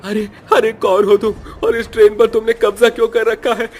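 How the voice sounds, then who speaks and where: A man's voice: high-pitched, level tone, moderate pace, pitched above the performer's own voice. A man voicing an alarmed train passenger in a cartoon, with strained, pleading questions. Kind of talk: cartoon voice acting.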